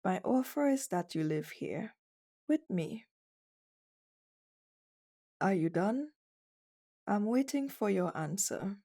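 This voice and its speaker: A woman acts out a commanding female chief executive for an ASMR roleplay. She speaks slowly and deliberately in a soft, mellow tone. Her manner is cold and condescending, with a dominant, flirtatious edge. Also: mid-range voice, animated. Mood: stern, controlled.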